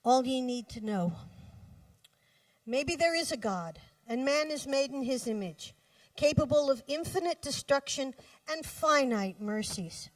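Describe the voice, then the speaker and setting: Slow, high-voiced woman reading poetry. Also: animated, no echo. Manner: measured, deliberate phrasing with pauses. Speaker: poet reading own poems. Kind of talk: live poetry reading with brief spoken introductions. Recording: distant microphone at live reading.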